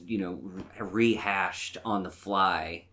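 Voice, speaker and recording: A man's voice: sits low, steady tone, takes it slowly, bright, forceful tone. A man co-hosting a podcast in casual chat, talking in a blunt, informal, opinionated way. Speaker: podcast co-host.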